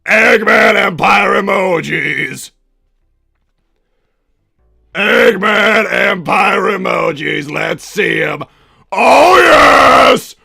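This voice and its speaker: Casting: bombastic cartoon mad-scientist villain, doting but hot-tempered, high-pitched male voice with heavy rasp. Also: animated, drawn-out words, long pauses, sharp tone, dry recording, shouting, studio quality, faint music behind, full character voice. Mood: excited, blustering. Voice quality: gravelly, raspy. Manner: blustering, bombastic. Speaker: livestream host. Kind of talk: in-character livestream chat.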